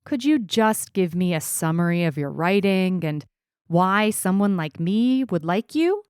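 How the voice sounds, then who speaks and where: A woman's voice: on the low side, expressive, measured pace, smooth, no echo, studio quality. A woman playing a stubborn, impatient schoolgirl in an audio story, with an insistent, clipped way of talking. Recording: music under the reading.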